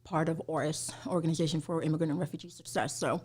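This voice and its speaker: A woman from Somalia chats as a podcast guest. Her voice sits low for a woman, and she leans on "you know" and laughs easily. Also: fairly even intonation, fast, a little mellow, slightly rough. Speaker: guest, immigration attorney. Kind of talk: casual interview chat.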